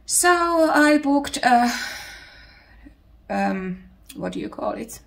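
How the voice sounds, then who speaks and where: Gravelly, slow Finnish woman. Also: a little high, animated, a bit bright. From Finland. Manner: unhurried, searches for words. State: voice still recovering from illness. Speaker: podcast host. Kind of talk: casual chat. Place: home.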